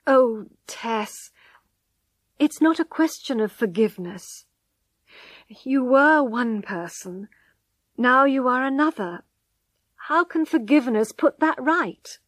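Woman doing a stern young husband in a storybook, slow and firm, dry sound. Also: sits high, lively intonation, dry recording, voice pushed up, full character voice.

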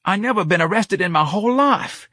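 Give me a man doing a weepy, frightened old grandfather, pleading in a high voice. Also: lively intonation, a little fast, bright, forceful tone, breathy edge, no room sound, studio quality, exaggerated voice. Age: older. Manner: pleading, tearful.

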